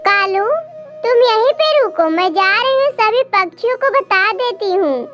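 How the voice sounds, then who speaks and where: A woman voices a little female bird in a children's cartoon. The voice is extremely high and childlike, plain-spoken, with music clearly playing behind it. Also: expressive, unhurried, dull audio. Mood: bossy, scheming. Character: small female cartoon birds, wives and mothers. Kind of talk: children's animated moral story dialogue.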